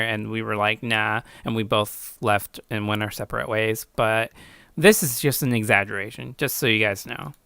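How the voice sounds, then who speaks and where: Young male online host, informal and self-deprecating, bright crackly voice, reads comments casually. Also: on the low side, clean tone, no echo. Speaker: YouTube host reacting to own story. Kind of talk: casual reaction chat, reading comments.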